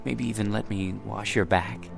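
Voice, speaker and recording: Weary, creaky-voiced devoted husband. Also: male voice, fairly low pitch, steady tone, normal speed, faint music behind. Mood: tender, hopeful. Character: weary, devoted, long-suffering husband of a star. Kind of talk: radio drama acting.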